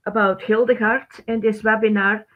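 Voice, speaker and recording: A middle-aged woman from Belgium, a native Dutch speaker with a Belgian Dutch accent, presenting a webinar informally in halting, non-native phrasing. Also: medium pitch, little pitch movement. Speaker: webinar host, teacher. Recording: thin phone-like band-limited webinar audio.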